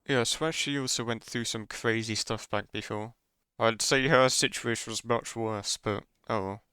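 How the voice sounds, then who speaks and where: A woman's voice: rumbling low, fairly even intonation, normal speed, a bit bright, smooth, dry recording. A woman streamer giving casual, offhand commentary over a game. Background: game music.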